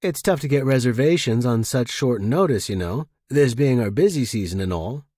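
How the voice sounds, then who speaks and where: A man reading an audiobook, voicing a reclusive, guarded station worker with a soft, wry delivery. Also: mid-range voice, normal speed, no room sound, natural voice. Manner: soft, flat, dry-humored. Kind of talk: character dialogue in audiobook reading.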